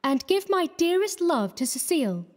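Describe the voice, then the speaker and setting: A woman's voice: extremely high pitch, very animated, moderate pace, a little mellow. A woman voicing a coquettish, sweetly malicious young Parisian lady in a storybook reading. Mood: sweetly barbed. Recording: echoey hall-like reverb.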